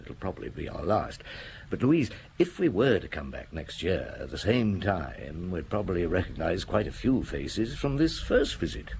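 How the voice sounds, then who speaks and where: A shrewd middle-aged detective in an audio drama, with a low voice that moves expressively. He muses step by step with dry humour, over faint background noise. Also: very animated, moderate pace, gravelly, a little mellow, heavily acted. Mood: thoughtful. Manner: musing, methodical, dryly humorous.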